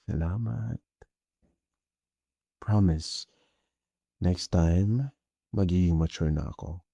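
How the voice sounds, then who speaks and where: A man playing a jealous young Filipino boyfriend in an intimate ASMR roleplay. His voice is low and mellow, and he talks very slowly, soft and hesitant, with a clingy, playfully insecure tenderness. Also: vocal fry, husky, dry recording, heavily acted. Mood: tender, bashful. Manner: soft, hesitant, Taglish code-switching.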